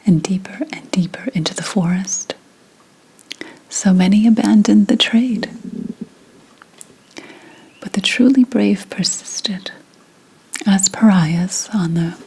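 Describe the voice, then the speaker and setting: A woman playing a proud, secretive 17th-century witch healer, whispering slowly and formally in an ASMR roleplay. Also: mid-range voice, full character voice, poor audio. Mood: solemn, wounded pride. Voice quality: breathy unvoiced whisper. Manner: deliberate, hushed, archly formal phrasing.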